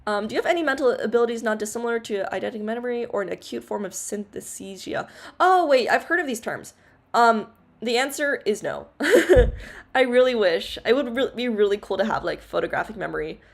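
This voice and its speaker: Young female streamer, casual rambling Q&A, filler-heavy, giggly, low-ish loud voice. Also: animated, brisk, creaky. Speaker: VTuber streamer. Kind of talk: casual Q&A livestream chat.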